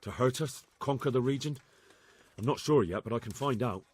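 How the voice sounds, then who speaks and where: An actor playing an earnest young medieval spy in a game, plain-spoken and respectful. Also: male voice, medium pitch, normal speed, slightly rough, dry recording, natural voice. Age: young adult. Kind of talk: video game cutscene acting.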